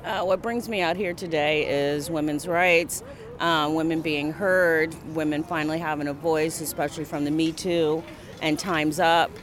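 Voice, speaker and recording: Higher-voiced man, deliberate and hesitant. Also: steady tone, a bit bright. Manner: slow, deliberate, with frequent pauses. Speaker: march participant being interviewed. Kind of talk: spontaneous interview answer.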